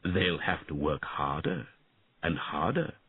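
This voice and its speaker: Man as a low, quiet, melancholy dragon. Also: very animated, slow pace, gravelly, breathy edge, dry recording, phone-quality sound, exaggerated voice. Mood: reproachful. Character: gentle, sad, thoughtful old-code male dragon.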